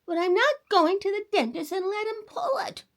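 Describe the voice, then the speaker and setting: A woman's voice: extremely high pitch, animated, moderate pace, pitched above the performer's own voice. A woman voicing a stubborn, frightened little boy in a storybook, whiny and emphatically defiant.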